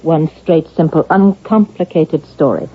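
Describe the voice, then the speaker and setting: A probing, husky-voiced psychiatrist. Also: female voice, low-pitched. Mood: confronting. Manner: measured, deliberate, pressing. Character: probing, insistent psychiatrist questioning an accused killer. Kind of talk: vintage radio drama acting. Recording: dull old radio drama recording.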